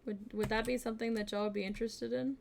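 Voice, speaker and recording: A woman's voice: fast talker, gravelly, slight background noise. Bubbly young woman streamer chatting. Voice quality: fairly deep voice for a woman. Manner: bubbly, giggly, many fillers, swings between soft asides and excited greetings. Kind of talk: casual live-stream chat.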